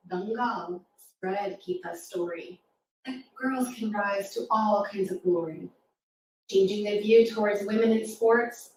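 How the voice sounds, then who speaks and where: A woman reading rhyming verse aloud to young children at a live storytime, with an encouraging, interactive manner. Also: mid-range voice. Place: live reading event in a roomy space. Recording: echoey room, distant mic, faint noise.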